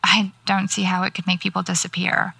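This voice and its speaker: A woman's voice: lowish voice, monotone, a little fast, vocal fry, sharp tone, breathy edge, no echo, heavily acted. An actress playing a dry, sardonic, preoccupied woman novelist in an audio drama. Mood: matter-of-fact. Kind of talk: naturalistic audio drama dialogue.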